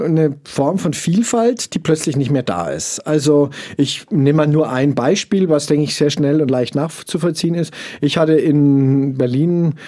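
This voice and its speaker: A middle-aged man with a Franconian accent, answering interview questions in a measured way, often pausing with fillers as he searches for words. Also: a little high, dark tone. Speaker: interview guest.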